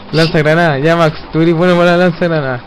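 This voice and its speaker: A man's voice: a little high, low-quality recording. A young Chilean man chatting casually and swearing as he plays a video game with friends. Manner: slangy, swearing. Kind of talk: casual gaming chat with friends. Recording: game sound and music behind voice. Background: loud game music and effects.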